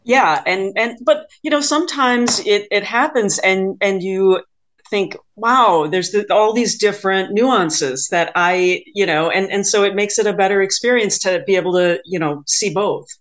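A woman hosting a casual interview, with tentative, halting phrasing as she works toward her point. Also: mid-range voice. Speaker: podcast host, interviewer.

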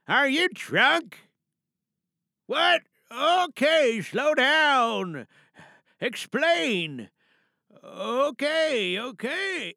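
A man voicing a steady, protective old grandfather in an audio drama monologue, speaking in short, halting, deliberate phrases. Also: very high voice, dry recording, clean studio sound, pitched above the performer's own voice, full character voice.

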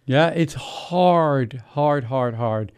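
Man, from New Jersey, author, mellow tone, casual slang, reflective. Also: mid-range voice, drawn-out words, smooth. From New Jersey, USA. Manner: reflective, casual slang mixed with literary phrasing. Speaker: author, interview guest. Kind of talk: relaxed conversational interview.

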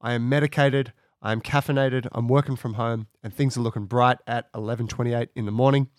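A man with a raspy voice from a cold, speaking conversationally as he introduces his podcast from home. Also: medium pitch, steady tone, fairly quick, no room sound, studio quality. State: has a cold. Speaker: podcast host.

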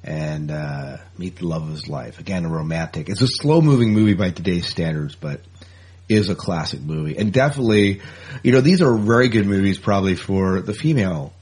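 A man's voice: deep voice, animated, a little fast, a little mellow, breathy edge. A man hosting a podcast in a casual, informal, easygoing chat style. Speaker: podcast host.

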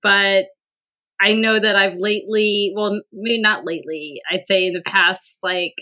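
A woman guest on a conversational podcast, speaking slowly. Her voice is bright, and she hesitates often, circling back with fillers and restarts. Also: mid-range voice, steady tone. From Vietnam. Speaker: podcast guest, university administrator. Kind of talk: casual conversational interview.

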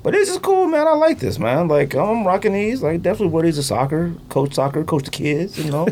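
Animated man chatting casually, noisy background. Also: a little high, brisk. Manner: animated, slangy, playful. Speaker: podcast host. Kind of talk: casual sneaker chat.

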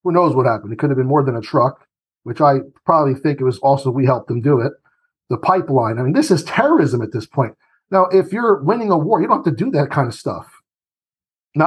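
A man's voice: on the high side, husky. A man giving his opinions as an interview guest, emphatic and argumentative, often acting out quoted voices. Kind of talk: opinionated political commentary.

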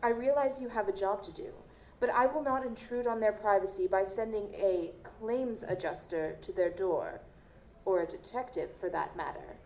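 A woman playing a guarded, formal chief medical examiner in an audio drama, measured and dismissive. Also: mid-range voice, a little mellow, close to an everyday voice. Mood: firm, dismissive. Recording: roomy, noisy live stage recording.

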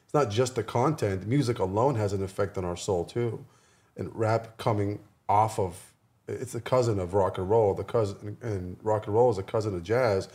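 A man with a fairly low voice speaks as a guest in a casual podcast discussion. His delivery is halting, and he reworks his phrases as he explains. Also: warm tone.

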